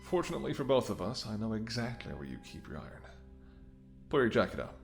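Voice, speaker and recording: A man's voice: mid-range voice, sing-song, quick pace, vocal fry. Man acting a menacing, sardonic mercenary over music. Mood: taunting. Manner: taunting, sardonic, swearing, drops to whispers. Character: menacing, smirking male mercenary ex-bodyguard holding someone at gunpoint. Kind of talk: dramatic audio roleplay spoken to the listener.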